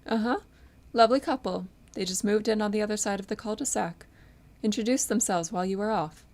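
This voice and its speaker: A woman's voice: mid-range voice, a little fast, darkish tone, close to an everyday voice. A woman playing a proper, hesitant 1950s housewife, eager to please, in an audio drama.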